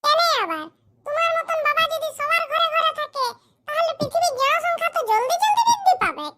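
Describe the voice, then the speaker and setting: A performer voicing a squeaky, indignant young man in a comedy cartoon, bickering. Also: big pitch swings, fairly quick, bright, forceful tone, exaggerated voice. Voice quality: squeaky, artificially high voice. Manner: scolding, bickering, rhetorical questions. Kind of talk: exaggerated comic cartoon dialogue in rural dialect.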